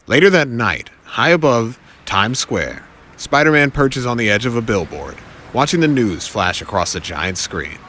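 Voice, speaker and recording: A man's voice: mid-range voice, very animated, normal speed, hardly any pauses, bright, forceful tone, a little hiss or hum. A man narrating an audio drama from a script in a wry, theatrical way.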